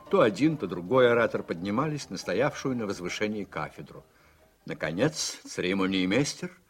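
A man narrating a radio play in a measured, scripted storytelling manner. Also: mid-range voice.

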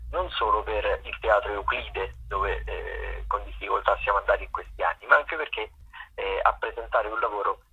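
A man runs through the week's theatre listings in a rambling, hesitant way. His low voice is gravelly and husky. The whole thing comes through a thin, tinny phone line. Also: normal speed. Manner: relaxed, rambling, with many hesitations. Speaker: theatre critic and regular contributor. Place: at a festival, away from the studio.

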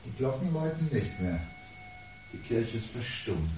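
An actor playing a brooding, articulate man who calmly awaits the world's end in a radio play, speaking in a measured, formal, sardonic way. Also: medium pitch, natural voice. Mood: resigned, brooding. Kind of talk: 1970s German radio play acting. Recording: 1970s radio production with audible background noise.

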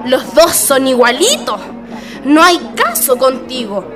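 A woman playing a bossy, jealous, sharp-tongued working-class wife with a Chilean accent in a radio play. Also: high voice, very animated, slow pace, exaggerated voice. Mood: scolding. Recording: loud music and crowd behind. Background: festive music and crowd.

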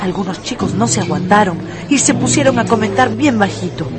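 A woman narrating a dramatised radio story in an expressive, deliberate, emphatic way. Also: mid-range voice, loud music behind the voice.

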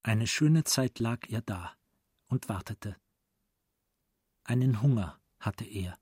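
A man narrating a radio play as a literary storyteller, in a deliberate, dramatic manner. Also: fairly low pitch, expressive, darkish tone, husky, dry recording, clean studio sound.

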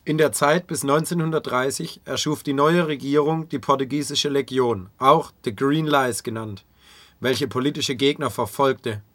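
Male narrator for a radio history feature, mid-pitched, scripted delivery, recorded dry. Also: normal speed, a bit bright, no echo.

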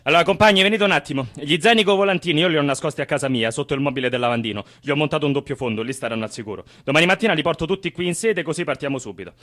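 A man's voice: fairly high pitch, very fast, hardly any pauses, fairly bright tone, close to an everyday voice. A man acting an exasperated, bossy left-wing activist with a Roman accent in a comic radio play. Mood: organising. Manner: bossy, argumentative.

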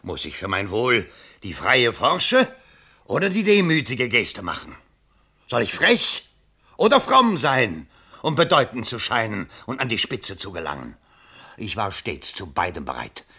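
Frantic, husky, pleading middle-aged father. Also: on the low side, wildly swooping pitch, a little slow, full character voice. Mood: agitated brooding. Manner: theatrical, pleading, swinging from hushed to frantic. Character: desperate, vain middle-aged father standing as election candidate. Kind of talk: stage-like German radio play acting.

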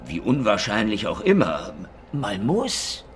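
Cynical secret police detective, lowish voice, animated intonation, dry wisecracks, radio crime drama, music behind. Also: male voice, slow pace, heavily acted. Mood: indignant, wary. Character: cynical hardboiled Soviet secret police detective, sardonic and defiant. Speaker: lead actor and first-person narrator. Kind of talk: German hardboiled radio crime drama acting.

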